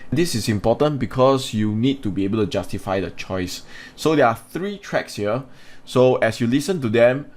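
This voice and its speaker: A man's voice: medium pitch, lively intonation, normal speed, darkish tone. A man teaching a lesson, explaining and instructing as he comments on exam performance. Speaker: English teacher.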